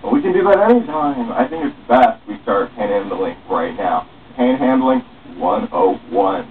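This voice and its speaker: A man's voice: on the low side, animated, moderate pace, natural voice. A man reading the part of a brash, boastful veteran panhandler in a story, with a casual coaxing manner. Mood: cocky. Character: brash, cocky male veteran panhandler, streetwise slacker. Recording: muffled phone-like webcam, distant mic, faint hiss.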